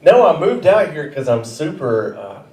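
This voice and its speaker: Southern guy doing standup, rambly and deadpan, roomy theater sound picked up from back a ways, faint room hum. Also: a little high, moderate pace. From Arkansas, US South. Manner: laid-back, rambling, deadpan with self-corrections. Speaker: stand-up comedy student. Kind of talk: stand-up set. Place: comedy theater stage. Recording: roomy theater mic from a distance.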